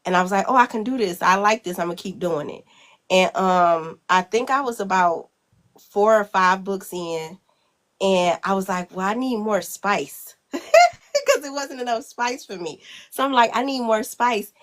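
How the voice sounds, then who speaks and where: A woman's voice: mid-range voice, expressive, measured pace, fairly bright tone. A woman author getting over a cold, sounding a bit congested, talking in a rambling, hesitant way with many fillers over a video call. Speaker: guest author. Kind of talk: casual interview chat.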